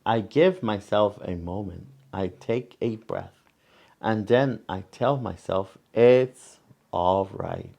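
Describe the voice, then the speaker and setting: A man with a fairly low, creaky voice reads a picture book to children. He goes very slowly and gently, leaving space between phrases and turning to the young listeners with little asides. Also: muffled recording. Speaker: children's storyteller and host.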